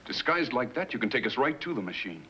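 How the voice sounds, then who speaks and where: A man acting in a 1950s science fiction film as a forceful, sceptical officer of a space crew. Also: mid-range voice, very animated, fast talker. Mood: assured. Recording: dull old film soundtrack, faint music.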